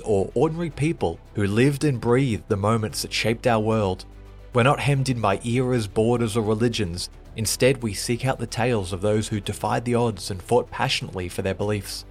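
A man hosting a podcast, reading a scripted promotional spot for his show. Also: lowish voice. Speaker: podcast host. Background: music bed.

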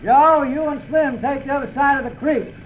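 Folksy frontier sheriff, American Western twang, blunt, very high, muffled radio hiss. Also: male voice, moderate pace. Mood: brisk. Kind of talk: 1940s radio acting. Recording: muffled old radio recording with faint hiss.

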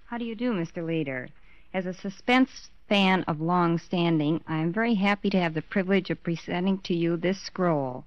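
Woman presenter, lowish voice, formal prepared speech, dull dry vintage radio sound. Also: moderate pace, dry recording. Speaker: magazine editor presenting an award.

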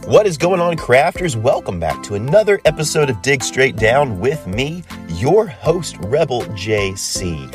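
A man's voice: medium pitch, very animated, measured pace, few pauses, music in the background. A man hosting a solo podcast in a casual, rambling way with many ums and uhs, sounding stuffy from a cold. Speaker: podcast host.

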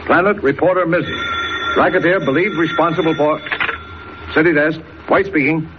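An actor playing a blustering, impatient newspaper editor in an old radio drama, brusque and emphatic. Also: male voice, on the high side, steady tone, normal speed, natural voice. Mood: brisk. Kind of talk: 1940s radio acting. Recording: dull, faintly noisy old radio recording.

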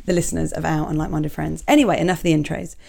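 Woman, croaky from a cold, gravelly, lowish voice, chatty host, self-deprecating rambling. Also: flat delivery, racing, mellow. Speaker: podcast host. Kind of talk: casual solo podcast chat, reading listener emails. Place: small home office.

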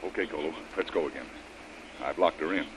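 Jungle hero: very deep, brisk, gruff, officious, on a noisy vintage radio recording. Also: male voice. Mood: brisk, impatient. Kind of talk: 1930s radio adventure acting.

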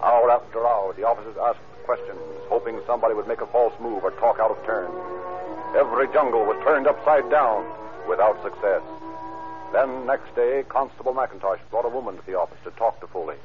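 An actor narrating a crime story in a serious, emphatic 1940s radio style. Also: male voice, mid-range voice, a little fast, lightly acted. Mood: grave, dramatic. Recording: tinny old radio recording. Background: dramatic music.

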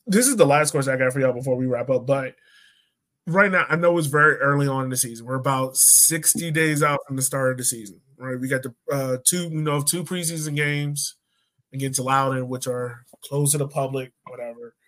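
A man with a medium-pitched voice hosts a casual sports chat. He talks informally in the role of a podcast host. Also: a little fast, a bit bright, low-quality recording.

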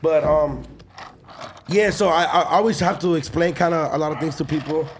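A man's voice: fairly high pitch, steady tone, normal speed, a little hiss or hum. A man hosting a podcast interview, talking loosely with frequent swearing and restarts. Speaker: podcast host. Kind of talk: casual interview chat.

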